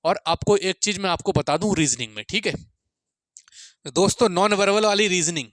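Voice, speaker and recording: A man's voice: high-pitched, moderate pace, a bit bright, dry recording. A man giving an informal exam-prep lecture, repeating his points and often checking in with students in a friendly way.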